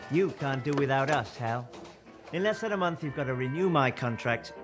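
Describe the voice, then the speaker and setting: An actor playing a younger film comedian in a period drama, businesslike, challenging and insistent. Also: male voice, medium pitch, animated, moderate pace, music in the background, close to an everyday voice. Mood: defiant.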